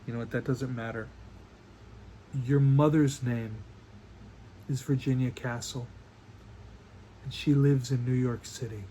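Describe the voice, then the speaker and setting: A man playing a doting, secretive father in an online play, speaking tenderly and haltingly to his daughter. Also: mid-range voice, fairly even intonation, dark tone, a little hiss or hum, natural voice, rough recording. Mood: nervous, halting. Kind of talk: dramatic monologue in an online play.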